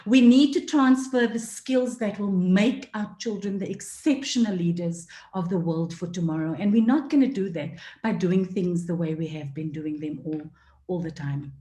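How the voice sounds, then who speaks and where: Earnest woman forum speaker, mellow tone, fillers, echoey room. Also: fairly low pitch, moderate pace. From South Africa. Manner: earnest, many fillers, emotional at times. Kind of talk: passionate talk. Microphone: video call.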